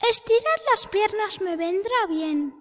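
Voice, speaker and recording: A woman's voice: very high-pitched, lively intonation, a little slow, voice pushed up. A woman voicing a tiny, cheerful, clever little boy in a children's storybook, with a chirpy, childlike delivery. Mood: content. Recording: long hall reverb, some noise.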